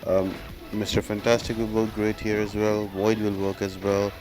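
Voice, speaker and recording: A man's voice: on the low side, flat delivery, normal speed, darkish tone, faint music behind. A man giving casual, instructional commentary as he explains a gameplay walkthrough step by step. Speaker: game guide video host.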